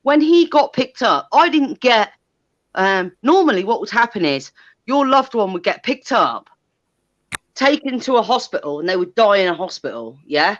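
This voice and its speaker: A woman's voice: medium pitch, sing-song, moderate pace, lo-fi sound. A woman, chatting candidly in a blunt, sweary, emphatic way. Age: 30s. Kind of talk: candid casual chat.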